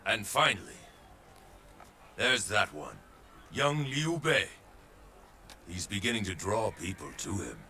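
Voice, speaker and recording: An actor playing an ambitious, calculating warlord in a video game dub, speaking in a measured, deliberate way. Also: male voice, lowish voice, big pitch swings, gravelly, sharp tone, full character voice. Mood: brooding, determined. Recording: music behind the voice.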